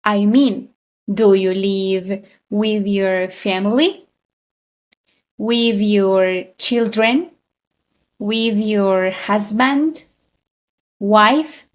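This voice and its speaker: A woman in her thirties from Colombia teaching a beginner English lesson, speaking slowly and deliberately and repeating each phrase. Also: medium pitch. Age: 30s. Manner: very slow, deliberate, with frequent repetition.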